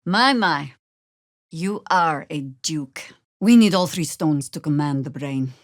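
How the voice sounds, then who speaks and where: An actress playing a seasoned, middle-aged druid warrior woman in a fantasy video game, commanding and wry, with a dry, deliberate delivery. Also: low-pitched, expressive, no echo. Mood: stern, pointed.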